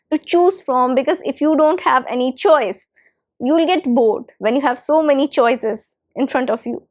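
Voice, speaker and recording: A woman whose first language is Hindi, giving an English lesson with emphatic repetition of key phrases. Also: high voice. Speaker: English teacher. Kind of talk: instructional talk to camera.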